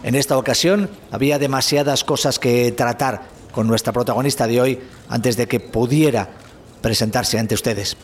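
A man's voice: mid-range voice, moderate pace, slight background noise, lightly acted. A man with an accent from Spain playing a proud, courteous space agency spokesman, giving a formal, polite press conference address in an audio drama. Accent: Spanish from Spain.

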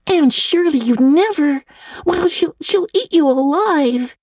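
A woman voicing a loyal, indignant, excitable young girl, hushed and emphatic, in a classic audiobook reading. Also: high voice, takes it slowly, dry recording, studio quality, pitched above the performer's own voice, full character voice. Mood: aghast.

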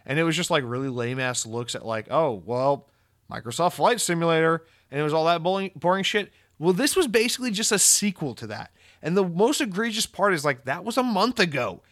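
A young man hosting a gaming podcast, talking in a casual, opinionated way, his voice hoarse and tired, blunt and swearing freely. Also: on the high side, animated, a little fast, a bit bright.

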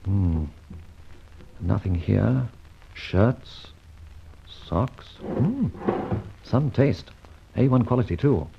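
A dull, band-limited old radio recording of a suave gentleman sleuth. His voice is gravelly and creaky, and he toys with mock-grand phrases in a wry, theatrical way, like a hero of an adventure serial. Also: on the low side, expressive, extremely slow, pauses often, background noise, full character voice. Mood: brisk, curious.

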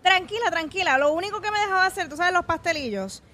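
High-pitched Puerto Rican radio hostess. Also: female voice, expressive, fast talker, sharp tone. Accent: Puerto Rican Spanish. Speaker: radio co-host. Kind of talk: radio interview.

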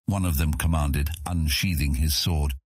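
Very deep, husky male audiobook narrator. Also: fairly even intonation, unhurried, no room sound, studio quality. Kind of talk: scripted fantasy narration.

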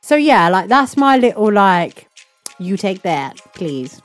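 A woman's voice: mid-range voice, very animated, slow pace, soft background music. A woman co-hosting a podcast in casual, chatty conversation, talking informally with plenty of slang. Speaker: podcast co-host. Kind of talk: casual chat.

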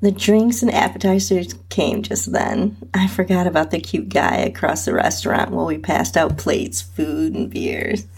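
A woman's voice: on the low side, steady tone, normal speed, gravelly, hardly any pauses, darkish tone, breathy edge. A woman reading a scripted first-person story aloud as its narrator and host.

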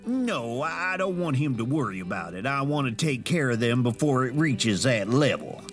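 A man's voice: medium pitch, very animated, normal speed, soft background music, lightly acted. A man acting in an audio drama as a pompous, scheming heir to a crime syndicate, speaking formally and with self-importance. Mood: irritated, defensive.

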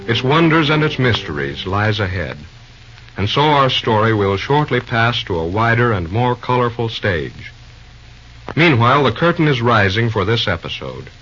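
A man narrating an old-time radio serial as its announcer, formal and grandiloquent in his storytelling. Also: fairly low pitch. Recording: dull vintage radio recording with music. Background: music.

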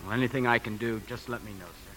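Actor as a quick, deferential bank employee. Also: male voice, mid-range voice, sharp tone, close to an everyday voice. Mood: polite, concerned. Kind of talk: 1950s radio drama acting. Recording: faintly noisy 1950s radio broadcast.

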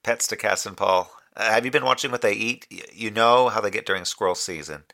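A low-voiced moonbase communications officer in a sci-fi audio drama. He is earnest and precise, with a bright, focused edge over a creaky bottom. His phrasing is formal and slightly fussy, with a wistful streak. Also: fairly quick. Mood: tender. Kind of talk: audio drama monologue as a transmitted report.

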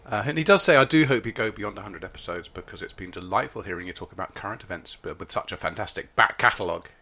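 Husky-voiced man in his fifties. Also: fairly low pitch, quick pace, pushed and bright. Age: 50s. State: husky from a late night. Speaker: podcast co-host. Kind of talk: casual chat. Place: garden summer house.

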